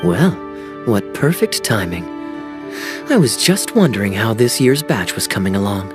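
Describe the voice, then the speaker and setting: A man's voice: fairly low pitch, big pitch swings, normal speed. An actor voicing a suave young male knight in a game cutscene, easygoing, wry and charming. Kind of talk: video game cutscene dialogue. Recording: game audio with background music.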